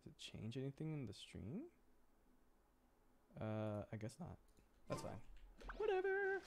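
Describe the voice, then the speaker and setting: Animated young-sounding male game streamer, lively pitch. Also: a little slow, gravelly, background noise. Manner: giggly, animated, easily amused. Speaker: livestreamer, VTuber host. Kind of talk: casual game stream chat, reacting to play and chat. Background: game music.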